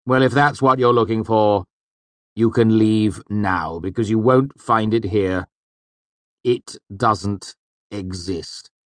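A man's voice: lowish voice, slow, dry recording, pitched above the performer's own voice. A man voicing a haughty male magic teacher who speaks with mocking, over-courteous scorn. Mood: scornful.

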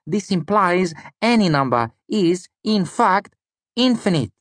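A man voicing an earnest, methodical foreign student in an audiobook, explaining in a precise, deliberate way. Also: high voice, very animated, fairly bright tone, no echo, voice pushed up, exaggerated voice. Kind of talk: audiobook character dialogue.

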